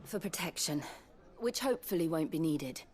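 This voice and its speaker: A gentle, low-pitched young noblewoman. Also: lively intonation. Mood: polite, composed. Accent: British. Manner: polite, measured, soft. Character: gentle, poised young noblewoman, quietly composed. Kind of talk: dramatic video game acting. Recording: cinematic music behind the voice.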